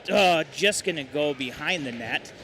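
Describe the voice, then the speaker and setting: Brisk man calling hockey play-by-play. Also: a little high, noisy background. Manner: brisk, rattling off puck movement, excited on goals. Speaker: play-by-play announcer.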